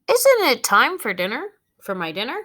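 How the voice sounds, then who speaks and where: Woman putting on a chatty, high-pitched talking dog. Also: huge pitch swings, normal speed, pushed and bright, exaggerated voice. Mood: eager. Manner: outspoken, cheeky, talkative. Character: chatty, blunt talking female family dog.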